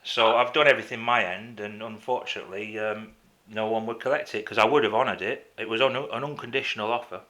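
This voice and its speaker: A man with a bright, forward voice is on a phone call to an office. He presses his point insistently, in halting phrases that he often repeats. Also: lowish voice, fairly even intonation. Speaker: caller to a council office. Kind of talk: phone conversation, making a complaint.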